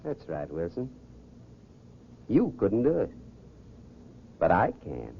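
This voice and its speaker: An actor playing a cold, smooth, calculating young criminal in an old radio crime drama, commanding and self-assured. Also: male voice, fairly low pitch, wildly swooping pitch, slow pace, a little hiss or hum, full character voice. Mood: cool, insistent. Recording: muffled old radio recording.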